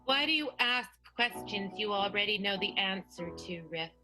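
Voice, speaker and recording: A woman playing a haughty, menacing opera diva in an improvised melodramatic radio play, speaking grandly and deliberately. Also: mid-range voice, expressive, music in the background, natural voice, rough recording. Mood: cold, threatening.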